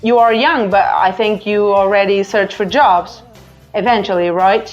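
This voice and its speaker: A woman's voice: mid-range voice, steady tone, measured pace, highs cut off, soft background music. A Portuguese woman in her forties hosting a podcast, chatting casually with hesitant fillers. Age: 40s. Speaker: podcast host. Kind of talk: casual chat.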